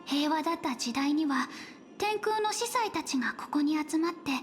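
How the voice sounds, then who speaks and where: Polite young guide, extremely high soft voice, brisk earnest delivery, anime game style, light music behind. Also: female voice, expressive. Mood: gentle explaining. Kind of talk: Japanese anime-style video game voice acting.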